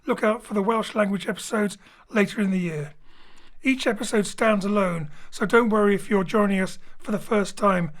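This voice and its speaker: A man hosting and introducing an audio drama from his home studio, relaxed and lightly joking. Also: high voice, moderate pace. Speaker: host and director. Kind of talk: friendly show introduction. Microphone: home studio mic.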